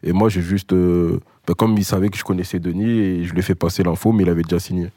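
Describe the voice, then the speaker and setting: A man's voice: low-pitched, steady tone, fast talker, warm tone, breathy edge, no echo, studio quality. A young man from Congo, a rapper, answering interview questions in a laid-back, rambling way. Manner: laid-back, filler-heavy. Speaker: rapper guest.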